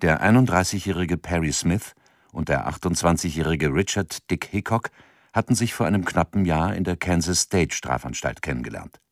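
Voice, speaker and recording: Deep, dry-sounding male narrator. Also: lively intonation, normal speed, no room sound. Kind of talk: scripted documentary-style radio drama narration.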